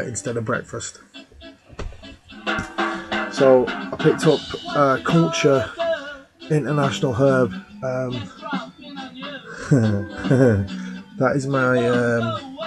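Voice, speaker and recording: Slightly hoarse man, thirties, casual webcam chat, lots of ums and uhs, music playing loud in the room. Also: mid-range voice, rough recording. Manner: rambling, full of ums and uhs. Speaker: vinyl collector vlogger. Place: small room at home.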